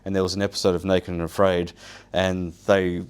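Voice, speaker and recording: Male guest speaker, native Polish speaker, very deep voice. Casual, wry, self-deprecating storytelling. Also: little pitch movement, brisk, clean tone. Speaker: guest speaker, survival show winner. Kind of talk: informal first-person storytelling to an audience.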